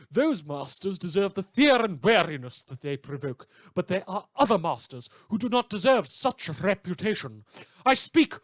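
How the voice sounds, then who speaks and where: A man's voice: high-pitched, no echo. A man voicing a haughty, aggrieved supervillain reading out an indignant letter in florid, formal, precise diction.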